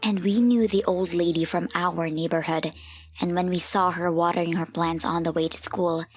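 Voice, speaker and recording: A woman's voice: lowish voice, steady tone, normal speed, faint music behind. A woman reading a scripted first-person story as the narrator of a manga dub.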